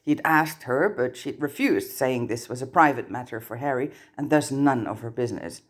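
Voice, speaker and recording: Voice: medium pitch, moderate pace. A narrator reading fan fiction aloud as scripted story narration. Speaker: audiobook narrator.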